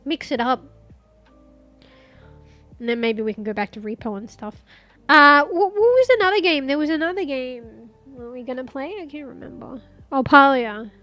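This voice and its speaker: A woman's voice: high voice, animated, moderate pace, fairly bright tone, muffled recording, soft background music. A congested woman, rambling in casual live-stream chat as a streamer, swearing and reading search results aloud. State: congested, losing her voice.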